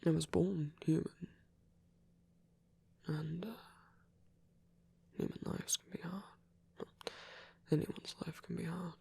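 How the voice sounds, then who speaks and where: A man playing a gentle vampire priest in an intimate ASMR roleplay, soft and breathy, speaking in hesitant, measured, genteel phrases. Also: a little high, level tone, full character voice. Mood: tender, confessional. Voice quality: breathy, whispery. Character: gentle, soft-spoken vampire priest, male, pacifist, tender.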